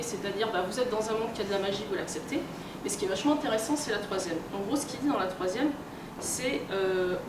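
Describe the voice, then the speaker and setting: A woman giving an informal conference talk, speaking colloquially and hesitantly as if thinking aloud. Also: on the high side. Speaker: conference speaker, researcher and editor. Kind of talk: informal lecture on role-playing games. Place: convention room, in front of an audience. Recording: echoey, very noisy room, distant mic.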